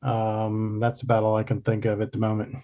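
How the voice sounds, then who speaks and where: A man's voice: on the low side, monotone, brisk, dark tone. A man making a matter-of-fact, informal announcement as a club officer over a dull video call. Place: online video meeting.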